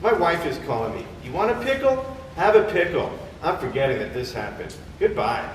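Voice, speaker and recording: Male actor as frazzled sleepless husband, anxious, halting, comic stage play, very animated pitch, echoey hall. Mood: exasperated. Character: frazzled, sleepless husband haunted by his bar mitzvah. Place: temple hall theater reading, distant camera mic. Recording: echoey hall, distant mic, faint noise.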